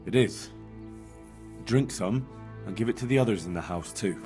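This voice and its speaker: An actor playing a calm, kindly man bringing medicine in a video game, speaking in a measured way. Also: lowish voice, music in the background, natural voice. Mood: calm, instructing. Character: male healer's helper, calm, kindly and measured. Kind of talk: dramatic video game dialogue.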